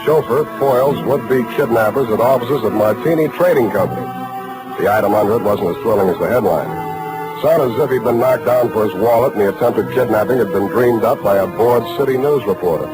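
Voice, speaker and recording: An actor playing a wisecracking, sardonic private detective in a 1940s-style radio drama. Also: male voice, on the low side, fairly quick, music in the background, lightly acted, poor audio. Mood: dry narration. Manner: dry, sardonic, wry. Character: wisecracking hard-boiled male private detective. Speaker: actor, narrator and lead. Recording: old radio broadcast recording.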